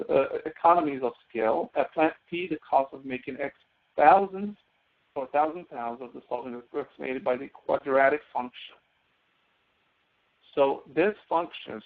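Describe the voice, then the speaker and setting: A man giving a step-by-step tutorial lecture as an instructor, often asking questions and answering them himself. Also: medium pitch. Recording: narrow phone-like audio.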